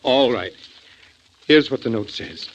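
A man's voice: mid-range voice, measured pace, close to an everyday voice. An actor playing a worried, well-spoken father, speaking formally and carefully in 1940s radio drama style. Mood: worried, measured. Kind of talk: 1940s American radio acting. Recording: dull, muffled vintage radio recording.

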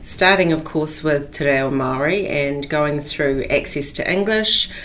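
A middle-aged woman, a professor explaining policy in a measured, articulate way as a podcast guest. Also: low-pitched, fairly bright tone. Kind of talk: podcast interview.

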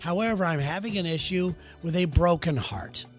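A man's voice: moderate pace, faint music behind. A man co-hosting a comedy podcast, reading a listener letter aloud and joking around in a teasing way. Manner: teasing, jokey, breaks into falsetto song.

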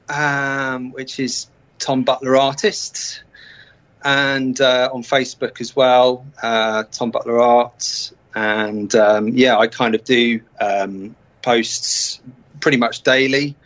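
A man being interviewed as an artist, chatting casually with a halting delivery. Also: medium pitch, fairly even intonation, fairly bright tone. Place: remote call from the UK. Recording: dull, muffled remote call.

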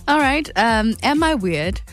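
A woman's voice: a little high, expressive, unhurried, soft background music. A woman, a radio producer joining the hosts' chat in a giggly, chatty way. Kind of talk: casual radio banter.

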